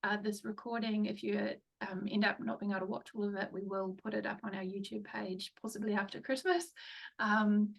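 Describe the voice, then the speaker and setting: Woman webinar host, fry in the voice, distant video-call mic, relaxed and halting. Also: medium pitch. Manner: informal, hesitant, many ums. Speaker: webinar host for a patient charity. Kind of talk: casual webinar introduction.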